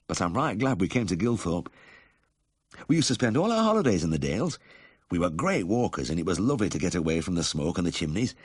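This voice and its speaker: A man's voice: low-pitched, husky, dry recording, clean studio sound, heavily acted. A man voicing an eager, hospitable working-class wife with a South Yorkshire accent in a story reading. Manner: friendly, homely, earnest.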